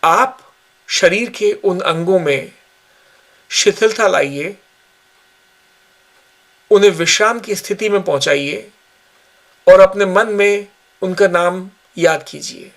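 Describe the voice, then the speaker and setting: A man guiding a yoga nidra relaxation session with slow, calm, measured instructions. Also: high-pitched, vocal fry. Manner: slow, calm, measured guidance with long pauses. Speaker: yoga teacher.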